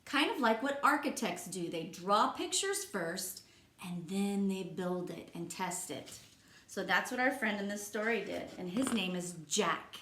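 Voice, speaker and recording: A woman teacher reading a picture book aloud to young children, stopping to ask gentle, encouraging questions. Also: mid-range voice, animated, a little slow. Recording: echoey room.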